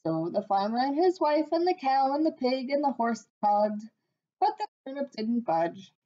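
A woman in her thirties, a teacher, reading a picture book aloud on a webcam at home in a slow, expressive voice. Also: sits high. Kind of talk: storybook read-aloud on webcam. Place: small room at home. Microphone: webcam at desk. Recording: dull lo-fi webcam audio.

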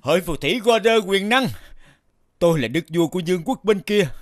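A man playing an anxious, devoted king in an animated fairy tale, speaking in a formal, courtly way. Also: sits high, fairly quick, dry recording, studio quality. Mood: respectful, pleading.

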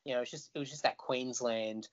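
An Australian man, a musician guest, telling stories in an animated, chatty way over a video call. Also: mid-range voice, brisk. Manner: animated, chatty storyteller who laughs easily. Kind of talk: casual video call chat. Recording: dull lo-fi call audio.

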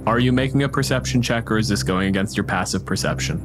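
Male game master, fairly deep, dramatic descriptive narration, music playing underneath. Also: quick pace. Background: background music.

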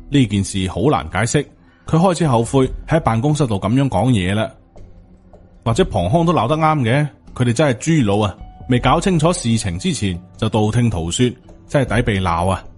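Hong kong cantonese guy narrating a story audiobook, normal pitch, music behind him. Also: a little mellow, breathy edge. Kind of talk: scripted novel narration with acted dialogue.

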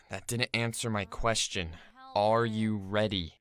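A man playing a grumpy, pessimistic high school boy in an audio drama, dry and curt. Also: fairly even intonation, takes it slowly, a bit bright, clear, resonant tone. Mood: impatient. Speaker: voice actor.

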